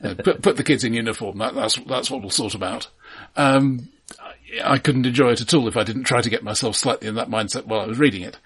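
A man's voice: medium pitch, fast, a bit bright, slightly rough. A man co-hosting a film podcast, chatting casually in a wry, discursive way.

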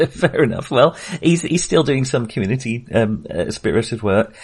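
A man's voice: medium pitch, lively intonation, moderate pace, a little mellow, husky. A man casually discussing a film as a podcast co-host, in a hesitant, rambling way.

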